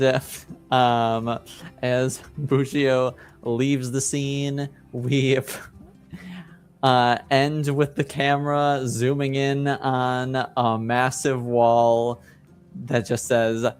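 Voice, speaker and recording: A man working as game master, improvising narration for a tabletop roleplaying game in a halting, thoughtful way. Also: mid-range voice, fairly even intonation, clean tone, faint music behind.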